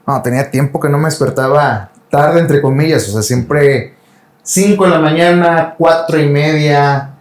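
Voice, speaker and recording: A man's voice: on the high side, unhurried. Hesitant male patient in an echoey room. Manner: hesitant, short affirmations. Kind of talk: casual interview answers.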